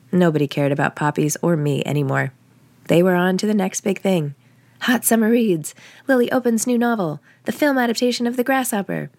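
A woman's voice: lowish voice, darkish tone, no room sound. A woman reading first-person fiction aloud in a wry, conversational way, like an audiobook. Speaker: author reading own novel.

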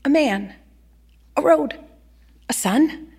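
A woman's voice: high-pitched, expressive, slow pace, gravelly, a little mellow. A woman actor reading a literary short story aloud as a narrator.

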